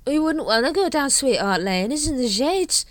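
A woman's voice: high-pitched, sing-song, moderate pace, no room sound, voice pushed up. A woman voicing a nervous, weaselly male watchman who slurs and quavers. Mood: nervous slurring. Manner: slurred, quavering.

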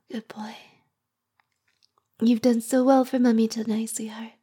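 A woman speaking in a soft, intimate whisper for an audio roleplay, slow and coaxing. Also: fairly high pitch, level tone, breathy edge.

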